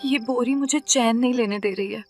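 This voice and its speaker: A woman's voice: fairly high pitch, normal speed, natural voice. A woman playing a poor, kind-hearted village woman who narrates her moral story in an earnest, reflective way. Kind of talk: scripted first-person moral story narration. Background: background music.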